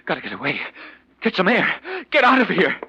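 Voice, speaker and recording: A man's voice: high voice, huge pitch swings, a little fast, lo-fi sound, loud music behind the voice, heavily acted. An actor playing a panicky, agitated male professor in 1940s radio drama style. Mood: panicked. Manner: agitated, stammering. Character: panicky married male professor, jittery and guilty-seeming. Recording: very noisy, crackly 1940s radio recording.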